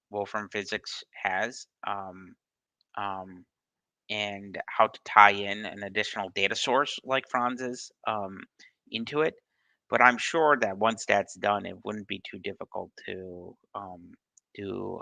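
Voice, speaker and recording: A man's voice: on the low side, creaky, sharp tone, no room sound, poor audio. A man on a video call answering questions in a casual live session, hesitant, with many ums and restarts. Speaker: study group presenter answering questions.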